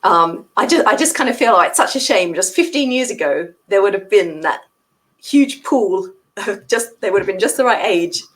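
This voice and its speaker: A woman's voice: mid-range voice, lively intonation, normal speed. A woman chatting casually about a book on a video call, in a tentative, thoughtful way. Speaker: read-along co-host, guest.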